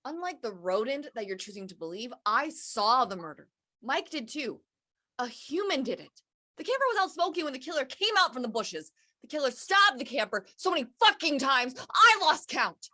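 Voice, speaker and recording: A woman's voice: sits high, sing-song, moderate pace, sharp tone, heavily acted. An actress playing an indignant, foul-mouthed female cougar accused of wrongdoing in a staged reading. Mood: angry, indignant. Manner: indignant, sweary, blunt.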